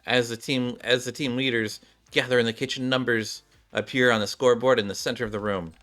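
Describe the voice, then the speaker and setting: A male gaming streamer with a mid-pitched, bright and forceful voice. He reads story text aloud and comments casually on the playthrough, with music behind him. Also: steady tone, fairly quick.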